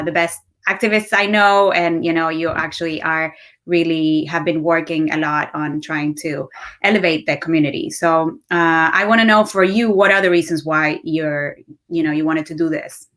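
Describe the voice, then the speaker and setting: A woman's voice: low-pitched, a bit bright. A woman in her late thirties with an Argentine Spanish accent, facilitating a casual panel discussion. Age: late 30s. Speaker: panel facilitator, marketing executive.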